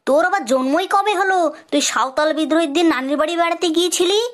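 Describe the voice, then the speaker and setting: A woman's voice: very high voice, racing. A woman voicing an exasperated, scolding male schoolteacher in a comic cartoon dub. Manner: loud, scolding, exclaiming questions.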